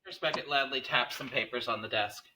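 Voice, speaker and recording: Guy narrating a script's stage directions, really bright forceful voice. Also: mid-range voice, a little fast.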